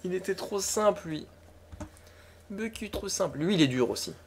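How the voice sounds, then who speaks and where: A young woman co-hosting a gaming talk show live stream, teasing and animated as she runs a guessing game. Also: lowish voice, slight background noise. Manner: teasing, animated, quick laughs. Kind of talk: playful livestream quiz chat.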